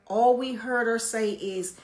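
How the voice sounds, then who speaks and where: A woman with a loud voice, casually telling a personal story in a profane, animated way and repeating phrases for emphasis. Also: on the high side, measured pace.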